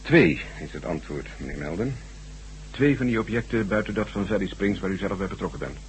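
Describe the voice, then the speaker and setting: An actor playing an obliging, principled chief computer programmer in a radio drama, measured, courteous and confiding. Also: male voice, low voice, animated. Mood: businesslike. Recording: noisy, humming old radio recording.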